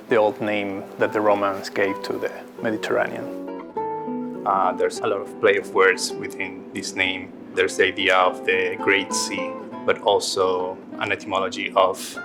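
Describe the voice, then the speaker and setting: A man from Ecuador, an artist speaking reflectively in a documentary interview with frequent restarts. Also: fairly low pitch. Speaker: visual artist. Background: guitar music.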